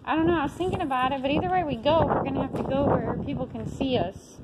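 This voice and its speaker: A woman with a US Midwest Ohio accent, exploring an abandoned school and talking casually, cautious and a bit hushed about being seen. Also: high-pitched, quick pace, very noisy. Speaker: urban explorer filming. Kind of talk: casual chat while exploring. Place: dark abandoned school building, indoors.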